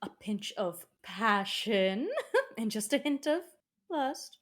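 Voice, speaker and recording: A woman's voice: mid-range voice, big pitch swings, slow. A woman playing a haughty fairy godmother in a comic table read, with a theatrical, mockingly sweet delivery. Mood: gleeful, naughty. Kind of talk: comic table read of an animated film script.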